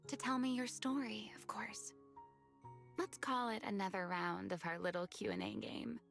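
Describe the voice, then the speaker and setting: Game role: guarded half-monster woman, weary, coy, measured, breathy with some creak, music underneath. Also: mid-range voice, expressive, a bit bright. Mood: coy, teasing. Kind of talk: video game voice acting.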